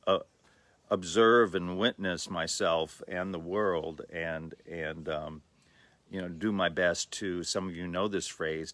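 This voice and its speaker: A man in his sixties from the Los Angeles area, giving a slow, thoughtful personal talk with many pauses and fillers. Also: deep voice, a bit bright, no room sound. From Los Angeles area, USA. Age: 60s. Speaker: livestream host.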